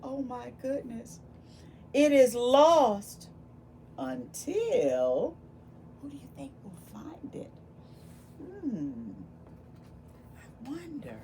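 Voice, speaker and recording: A woman reading a picture book aloud to young children, expressive and full of wonder, pausing to ask them questions. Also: high-pitched, slight background noise. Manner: animated, exclaiming with gasps and wonder, addressing young children. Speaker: volunteer children's read-aloud storyteller.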